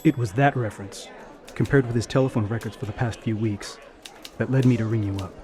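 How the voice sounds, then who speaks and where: An actor playing a brisk, puzzled male police detective sergeant in a radio drama, with an emphatic delivery. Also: mid-range voice, warm tone, natural voice. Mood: puzzled, businesslike.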